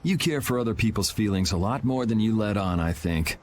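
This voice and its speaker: An actor playing a cool, wry young guild vigilante in a video game, speaking in a dry, casual, sardonic way. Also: male voice, fairly low pitch, expressive, brisk, a little hiss or hum, close to an everyday voice. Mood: casual, probing. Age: young adult.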